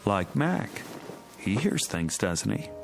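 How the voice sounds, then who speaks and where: A weary suburban dad in a radio drama, fond yet exasperated. He talks slowly and hesitantly, with a lively, swinging pitch, over a clear music bed. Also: heavily acted. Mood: musing.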